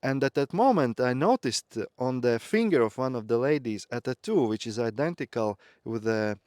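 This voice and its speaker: A man's voice: medium pitch, animated, normal speed, darkish tone, no room sound, clean studio sound. Earnest man. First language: Latvian. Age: 30s. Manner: reflective, earnest, with light laughs. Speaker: growth mentor. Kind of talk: personal storytelling talk.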